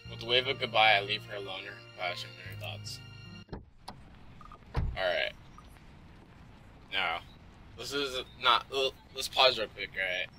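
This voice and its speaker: Bright, forceful man narrating a game. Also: on the low side, low-quality recording. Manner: informal, halting, stumbles while reading. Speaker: let's play host. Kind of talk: gaming commentary with read-aloud visual novel narration. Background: game music.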